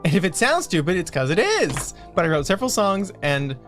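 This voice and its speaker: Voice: mid-range voice, big pitch swings, brisk, a bit bright, soft background music. A livestream co-host chatting casually, rambling and often repeating phrases.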